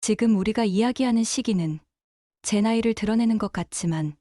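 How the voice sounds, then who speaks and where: Fast-reading woman with a mellow voice. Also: medium pitch. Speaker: interpreter voice-over. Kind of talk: read translation.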